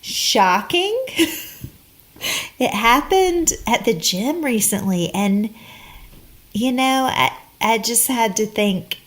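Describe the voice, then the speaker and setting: A woman interior designer, a guest on a podcast, chatting casually, thoughtful and quick to laugh. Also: on the high side, expressive, a bit bright. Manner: slow, thoughtful, laughs often. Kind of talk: casual chat.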